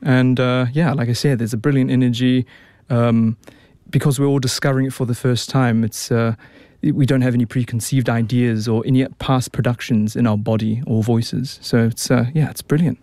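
A young man with a New Zealand accent talks easily as a radio guest, often restarting his sentences. His voice sits in a middle pitch. The sound is dry and close, with no room around it. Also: fairly even intonation, normal speed, dark tone, no echo. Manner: chatty, many restarts and fillers. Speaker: opera tenor, guest. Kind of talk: relaxed radio interview.

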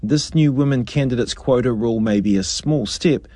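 Male reporter, scripted news voice-over; husky, mellow, dry. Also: medium pitch, normal speed, dry recording. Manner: even, formal report delivery.

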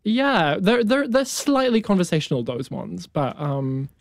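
Young conductor from new zealand, afrikaans first language, eager and halting, lots of ums, dry close sound. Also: mid-range voice, dry recording. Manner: enthusiastic, halting, many fillers. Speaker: conducting student, guest. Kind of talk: casual interview answers.

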